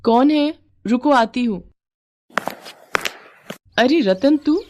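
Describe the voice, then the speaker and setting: A woman's voice: high-pitched, lively intonation, extremely slow, noisy background, voice pushed up, heavily acted. Caring devout village wife, reassuring woman acting. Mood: welcoming. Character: caring, devout wife of a poor street vendor.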